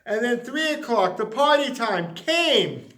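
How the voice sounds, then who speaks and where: A man reading a children's story expressively, with dramatic, drawn-out emphasis on words. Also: very high-pitched, sing-song, sharp tone, roomy sound. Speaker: story reader.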